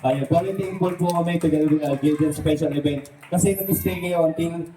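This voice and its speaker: Man, party entertainer, animated coaxing delivery, mellow tone, playful, recorded from a distance in a roomy venue. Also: fairly high pitch, fairly quick, slight background noise. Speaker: ventriloquist entertainer hosting a birthday party show. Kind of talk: live puppet comedy patter for an audience. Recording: echoey room, distant mic, faint music.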